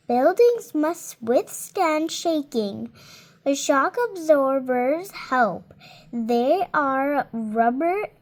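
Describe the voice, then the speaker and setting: High-voiced seven-year-old girl reading aloud. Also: darkish tone. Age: child, 7 years old. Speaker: child reader. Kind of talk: read-aloud of a children's nonfiction book with spontaneous comments.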